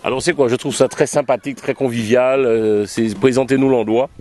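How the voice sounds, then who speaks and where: Fast-talking man TV interviewer. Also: medium pitch, steady tone, a little mellow, a little hiss or hum. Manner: quick, eager, friendly. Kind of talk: interview questions.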